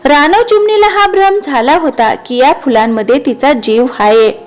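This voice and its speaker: A woman's voice: high voice, normal speed. A woman reading scripted narration for a children's cartoon story.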